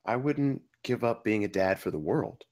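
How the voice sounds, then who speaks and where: A man's voice: on the low side, normal speed, a little mellow, close to an everyday voice. A man at a script table read playing a harried, brash buddy who is a father of many. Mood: earnest.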